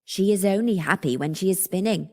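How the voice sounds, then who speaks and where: A man's voice: high-pitched, fairly quick, pitched above the performer's own voice. A storyteller voicing a scolding, dramatic peasant mother in a children's fairy tale. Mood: pleading, flattering.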